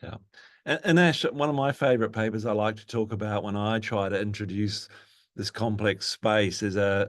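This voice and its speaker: A man with a somewhat low voice moderates an informal panel. He speaks conversationally, setting up questions and prompting the speakers.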